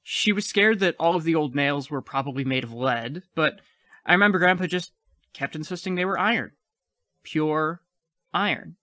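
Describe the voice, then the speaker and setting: A man's voice: fairly high pitch, moderate pace, sharp tone, no echo. A man playing a frightened but defiant, clever child in an audio play. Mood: earnest explaining.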